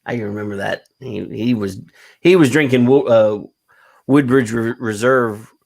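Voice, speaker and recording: A man's voice: medium pitch, normal speed, vocal fry. A man hosting a casual podcast chat, telling rambling stories with lots of fillers. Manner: rambling storyteller, many fillers. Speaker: podcast host.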